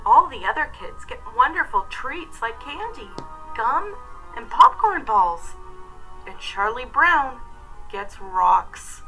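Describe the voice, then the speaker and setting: A woman, narrating a children's story in an expressive, scripted read-aloud style. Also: fairly high pitch, takes it slowly, soft background music, poor audio.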